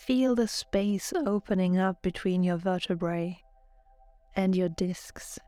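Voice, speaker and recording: A woman narrating a guided relaxation meditation in a calm, soothing, measured way, as a yoga nidra guide. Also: lowish voice, no room sound. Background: background music.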